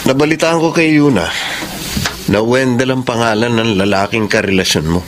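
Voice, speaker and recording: An actor playing a lovesick, rejected suitor in a Filipino radio drama. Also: male voice, mid-range voice, normal speed, a little hiss or hum, close to an everyday voice. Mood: reproachful.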